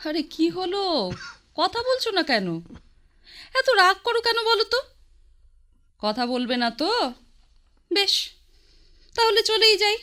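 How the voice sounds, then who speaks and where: A woman's voice: very high voice, sing-song, slow, long pauses, bright, forceful tone, full character voice. An actress playing a young woman who coaxes and teases her sick grandfather, speaking playfully in a radio play. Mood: coaxing. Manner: playful, coaxing. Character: young woman, the old man's teasing granddaughter. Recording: broadcast radio play with faint music.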